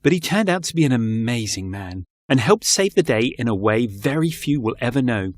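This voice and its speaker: Man playing a courteous, animated gentleman author. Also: mid-range voice, normal speed, dry recording, clean studio sound, lightly acted. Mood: earnest, wistful. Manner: polite, genteel, reflective. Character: courteous, earnest male ex-politician turned children's author. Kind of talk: audio drama acting.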